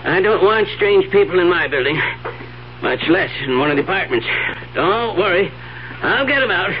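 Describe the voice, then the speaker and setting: An actor playing an obliging, plain-spoken, gruff building superintendent in a 1970s radio drama. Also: male voice, high voice, animated, moderate pace. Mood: obliging, skeptical. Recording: dull 1970s radio recording, faint hiss.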